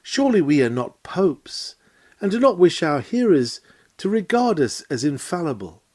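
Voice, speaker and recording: Mellow man reading with lively, swooping intonation. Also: a little high. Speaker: reader of a historic sermon lecture. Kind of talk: scripted narration, reading a lecture aloud.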